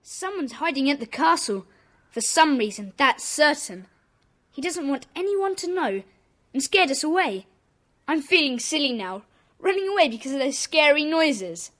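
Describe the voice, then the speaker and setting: A woman playing a bossy, sensible schoolboy gang leader with a brisk, commanding manner in a children's audio drama. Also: sits high, sing-song, a bit bright, big-room sound. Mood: sensible, reflective.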